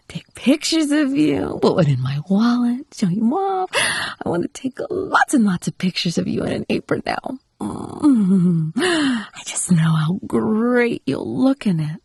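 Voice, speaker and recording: A woman playing a doting, possessive, teasing wife in an intimate audio roleplay, cooing in a breathy voice that often drops toward a whisper. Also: medium pitch, a little mellow, dry recording, clean studio sound, full character voice. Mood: flirty, giddy. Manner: coaxing, cooing pet names, unhurried murmuring.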